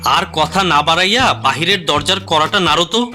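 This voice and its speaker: A man's voice: fairly high pitch, fairly quick, music in the background, lightly acted. A man playing an eager, insistent young friend in an audio drama, chatty and urging. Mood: impatient. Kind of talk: Bengali audio drama acting.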